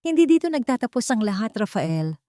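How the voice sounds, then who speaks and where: A woman's voice: a little high, big pitch swings, a little fast, mellow, no room sound, studio quality, pitched above the performer's own voice. Woman acting a wronged young mother, earnest and desperate. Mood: bitter resolve.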